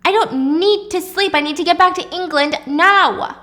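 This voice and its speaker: A woman's voice: high voice, fast, a bit bright. A woman voicing a desperate, frantic wife who pleads urgently and insistently for help.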